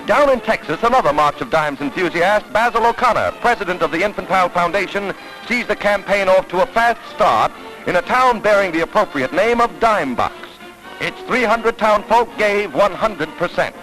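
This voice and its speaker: A man's voice: high-pitched, lively intonation, moderate pace, fairly bright tone, low-quality recording. An American man narrating a 1940s newsreel in a declamatory, dramatic announcer style, on a vintage soundtrack. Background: orchestral newsreel music.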